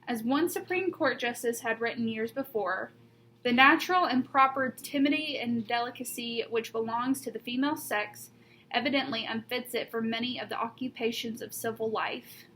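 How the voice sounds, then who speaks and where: A woman reading a children's picture book aloud like a teacher, with deliberate emphasis on key words. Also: a little high, fairly bright tone, rough recording. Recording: noticeable background noise.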